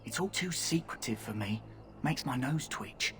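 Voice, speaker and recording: A man's voice: mid-range voice, lively intonation, moderate pace, a bit bright, slight background noise, natural voice. A man voicing a cheeky young thief recruit in video game dialogue, with a teasing manner. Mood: conspiratorial.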